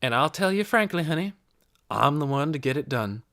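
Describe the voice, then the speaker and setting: A narrator voicing a brisk, self-righteous older woman for an audiobook, preachy, folksy and emphatic. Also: medium pitch, animated, fairly bright tone, dry recording, studio quality, pitched above the performer's own voice. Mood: stern, determined. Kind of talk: audiobook character voice.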